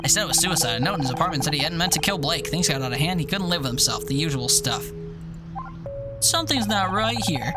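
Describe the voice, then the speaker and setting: An earnest, determined amateur sleuth read by a man tells a detective game story. The delivery is dramatic, in a fairly high voice, with music clearly behind it. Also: expressive, fast talker, close to an everyday voice. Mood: brooding, dramatic. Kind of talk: dramatic visual novel reading.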